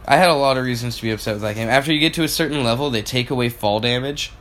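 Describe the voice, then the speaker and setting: A man's voice: mid-range voice, rapid-fire, fairly bright tone, slight background noise. A young man co-hosting a podcast, telling stories in a casual, rambling, sweary way. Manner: profane, filler-heavy storytelling. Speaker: podcast co-host. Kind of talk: casual chat.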